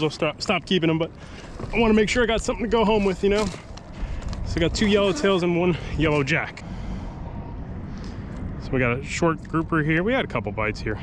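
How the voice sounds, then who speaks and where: A man giving casual running commentary while fishing from a kayak on open water, thinking aloud about his catch. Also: fairly high pitch. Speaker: fishing vlogger. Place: kayak on open sea, outdoors. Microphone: action camera mounted on kayak. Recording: outdoor action-cam audio with wind and water noise. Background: wind and water noise.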